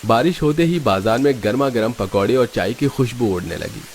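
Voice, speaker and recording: A man's voice: medium pitch, animated, brisk. A man reading scripted narration for a cartoon family story. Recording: faint background noise.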